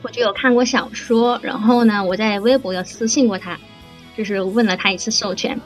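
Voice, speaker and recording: A woman answering questions casually on a live voice chat, pausing between short, hesitant phrases. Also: medium pitch, soft background music. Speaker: drama production planner. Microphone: online voice chat.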